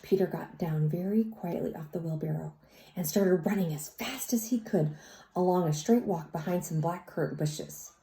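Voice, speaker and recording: A woman reads a children's story aloud in an expressive, teacherly way, speaking as if to young listeners. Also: fairly low pitch. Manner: teacherly, pauses to question and comment. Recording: roomy, echoey sound.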